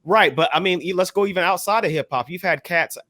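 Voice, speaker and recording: A man's voice: high voice, fast talker, fairly bright tone, no room sound. Male panelist, mid-range voice, casual discussion style, close dry recording. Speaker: panelist on a hip hop discussion show.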